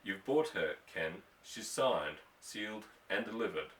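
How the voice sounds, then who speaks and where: A man voicing a stern, short-tempered rancher father in an audiobook, curt, sarcastic and gruff. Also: fairly low pitch, animated, measured pace, a bit bright. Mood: scornful, sarcastic. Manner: barking, withering, curt. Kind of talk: audiobook character voice. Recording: echoey room, distant microphone.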